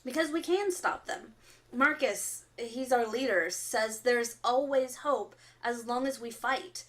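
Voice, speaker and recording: A woman playing an earnest, stern resistance fighter who delivers solemn, deliberate warnings in a radio drama broadcast. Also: on the high side, a bit bright. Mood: impassioned. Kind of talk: audio drama acting as underground radio broadcast.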